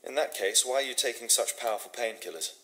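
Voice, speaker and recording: An actor playing a shrewd, courteous Victorian detective in a video game, formal and deliberate, with a British accent. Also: male voice, mid-range voice, sharp tone, natural voice, low-quality recording. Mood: probing. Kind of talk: scripted video game dialogue acting.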